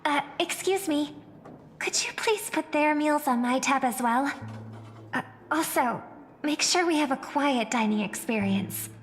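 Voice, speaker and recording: Game heroine: polite, gracious young lady, high voice, long reverb. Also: expressive, measured pace. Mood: courteous request. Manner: courteous, gentle phrasing. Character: gracious, polite young female star singer. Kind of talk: scripted video game dialogue. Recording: reverberant game audio with music.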